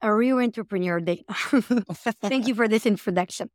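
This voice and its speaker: A woman's voice: mid-range voice, a little fast, dry recording, clean studio sound. A woman from Bulgaria hosting a podcast and interviewing a guest in an upbeat way, sometimes repeating words as she goes. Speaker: podcast host.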